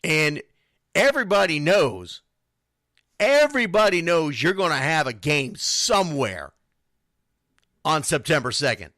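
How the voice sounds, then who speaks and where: A man's voice: on the high side, sing-song, measured pace, long pauses, bright, forceful tone, dry recording, clean studio sound. A man hosting an informal youth sports radio show, talking bluntly and emphatically and repeating phrases for stress. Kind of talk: informal sports talk monologue.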